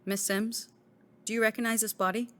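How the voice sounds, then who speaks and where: An actress playing a courteous police detective in a drama, speaking in a formal, measured, careful way. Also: female voice, a little high, fairly even intonation, natural voice. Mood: firm, considerate. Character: composed, courteous female police detective breaking bad news. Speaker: supporting actress. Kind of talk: naturalistic screen drama acting.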